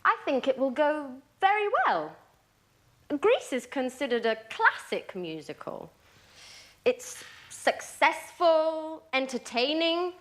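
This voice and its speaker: An actress playing a patient but easily exasperated woman friend in a scripted sitcom for language learners, speaking deliberately and expressively. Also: sits high, sing-song, fairly bright tone, heavily acted. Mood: upbeat. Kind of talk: slow, clear scripted sitcom dialogue for learners.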